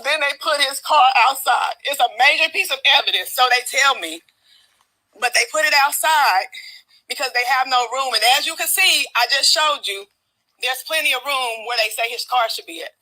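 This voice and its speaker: A woman speaks in a high and very sharp voice, upset and close to crying. She gives a raw, unscripted account, stressing and repeating her points. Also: normal speed, rough recording. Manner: emphatic, repeats herself insistently. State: upset, tearful. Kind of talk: distraught, unscripted spoken account.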